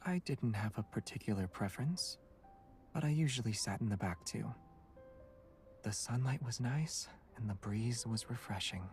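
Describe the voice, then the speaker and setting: An actor playing a calm, gentle young companion in a romance game, speaking softly and with measured composure. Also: male voice, fairly low pitch, faint music behind, lightly acted. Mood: amused, relaxed.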